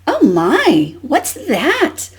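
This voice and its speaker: A woman voicing a huffy, indignant storybook duck in an expressive, emphatic read-aloud. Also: high voice, very slow, background noise, voice pushed up, heavily acted. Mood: surprised.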